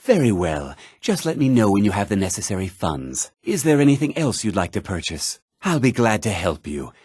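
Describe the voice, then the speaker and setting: An actor voicing a haughty, formal middle-aged mage and official in a fantasy video game, speaking precisely and with condescending politeness. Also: male voice, lowish voice, big pitch swings, normal speed, darkish tone, slightly rough, dry recording, studio quality. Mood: courteous, businesslike.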